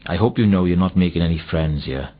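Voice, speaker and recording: Sarcastic grudging bartender npc, surly, slightly muffled old game audio. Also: male voice, deep voice, lively intonation, fast. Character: surly, sarcastic male bartender in fantasy noir. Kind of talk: scripted video game dialogue.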